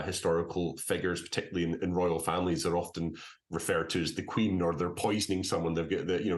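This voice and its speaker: A man's voice: low voice, echoey room. A man hosting an interview, asking long questions with many repeated words and restarts. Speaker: podcast host.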